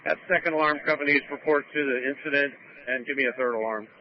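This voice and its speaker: A man's voice: medium pitch, quick pace. A man speaking as a firefighter over a two-way radio from a fire scene. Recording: dull lo-fi scanner radio audio.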